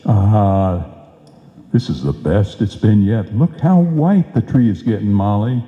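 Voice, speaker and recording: A man's voice: lowish voice, lively intonation, slow, mellow. A man playing a bumbling, boastful husband in an old-time radio comedy, talking in folksy, slangy wisecracks. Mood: eager, self-satisfied. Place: live performance in a reverberant hall. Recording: echoey hall, dull live stage sound.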